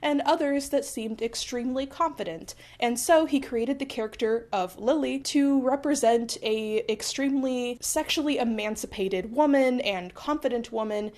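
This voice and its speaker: A young woman with a slightly high voice, stuffed up from a cold, talks through history in a loose, rambling way. She keeps breaking off for dry, self-deprecating jokes while recording in her small apartment. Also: normal speed, few pauses, darkish tone. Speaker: history video host. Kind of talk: casual history explainer.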